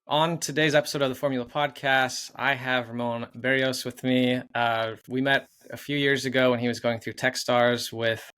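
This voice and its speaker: Man, podcast host, casual interview, filler-heavy questions, flat mid voice. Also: mid-range voice, moderate pace, hardly any pauses, clean tone.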